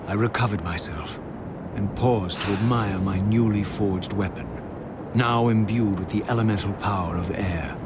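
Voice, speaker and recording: An actor playing a brooding undead wraith avenger, narrating a game's story in a grave, eloquent, solemn and measured theatrical manner. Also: male voice, lowish voice, heavy background noise, lightly acted. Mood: grave resolve. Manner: solemn, formal, measured dramatic delivery. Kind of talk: theatrical scripted game narration.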